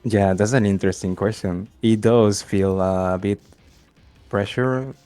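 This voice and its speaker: Shy guy w strong accent, low flat voice, halting, faint music. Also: dry recording. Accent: strong non-native. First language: not English. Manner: soft-spoken, shy, halting, simple phrasing. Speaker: guest, game content creator. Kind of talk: interview answers.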